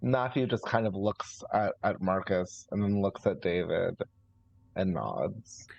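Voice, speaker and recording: A man's voice: deep voice, normal speed, vocal fry, no room sound. A man playing a tabletop role-playing game, casually improvising what his character says and does. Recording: dull lo-fi voice channel.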